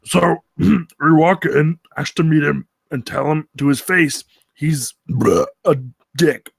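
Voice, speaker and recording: A man voices a blunt, grumbling beast. The voice is rough with fry and husky, the wording slangy, and the sound is dry. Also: a little high, slow pace, dry recording, full character voice. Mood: resolute, blunt. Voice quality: gravelly, husky. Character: Bigfoot, grumbling slangy male monster. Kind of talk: table read of a film script.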